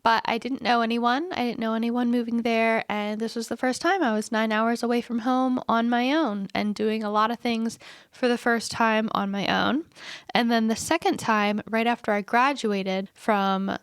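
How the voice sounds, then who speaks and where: A woman's voice: a little high, steady tone, moderate pace, smooth, no room sound, clean studio sound. A woman in her twenties hosting a solo podcast, rambling and chatty while congested from a cold. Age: 20s. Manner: rambling, chatty, self-deprecating. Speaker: podcast host. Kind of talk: casual solo podcast monologue.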